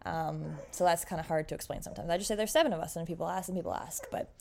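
A woman's voice: fairly low pitch, very fast, gravelly, dark tone, a little hiss or hum. Chatty young Canadian woman podcaster. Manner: chatty, giggly, full of fillers and restarts. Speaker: podcast host. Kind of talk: casual podcast chat.

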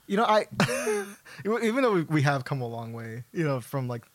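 Higher-voiced man in casual chat. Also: wildly swooping pitch, moderate pace, dry recording. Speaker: podcast co-host. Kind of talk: casual chat about films and games.

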